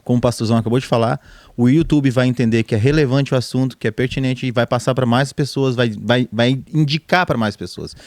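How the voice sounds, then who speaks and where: A man's voice: medium pitch, fairly quick, a little mellow, no room sound. Upbeat male church podcast host. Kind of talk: casual church podcast chat.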